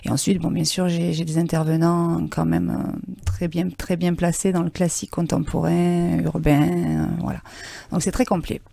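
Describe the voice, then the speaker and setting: Enthusiastic gravelly-voiced woman choreographer. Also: fairly low pitch, moderate pace. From Provence, France. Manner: enthusiastic, animated, laughs often. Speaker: choreographer and dance company director. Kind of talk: radio interview guest.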